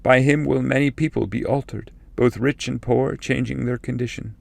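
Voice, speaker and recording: A man voicing a grave, fatherly ancestral spirit who foretells exile in solemn, measured verse from an epic poem. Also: mid-range voice, fairly even intonation, gravelly, no echo.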